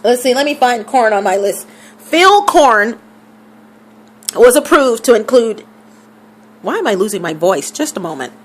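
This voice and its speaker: A woman with a somewhat high voice talks casually to her viewers, hammering home numbers by saying them again. Her throat sounds scratchy, as though she is losing her voice. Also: sing-song, a little slow, pauses often. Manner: emphatic, repeats figures for stress. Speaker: vlogger. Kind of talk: casual talk to camera.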